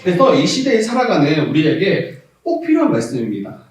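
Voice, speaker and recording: A Korean pastor from South Jeolla with a Jeolla dialect preaches a sermon, candid and reminiscing, laughing often and rising to emphasis, recorded as far-off audio in a church sanctuary. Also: male voice, a little high, wildly swooping pitch, fairly quick, heavy reverb.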